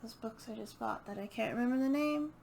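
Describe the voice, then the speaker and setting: A woman chatting casually and unscripted as a book vlogger, hesitant and halting as she thinks aloud. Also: on the high side, vocal fry, a little mellow, slight background noise. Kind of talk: casual unscripted chat to camera.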